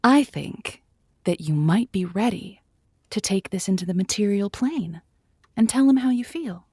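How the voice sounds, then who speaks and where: A woman's voice: mid-range voice, lively intonation, measured pace, mellow, husky, no echo, clean studio sound. A woman acting as an earnest, encouraging, slightly exasperated romantic advice host in a comedic audio drama, given to quaint pep talk. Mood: tactful, taken aback.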